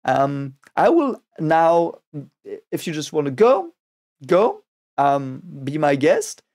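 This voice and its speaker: Raspy man musing over lyrics. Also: a little high, huge pitch swings, a little mellow. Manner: musing, halting, repeats words often. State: voice raspy from a cold. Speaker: reaction video host. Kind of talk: casual reaction and lyric analysis.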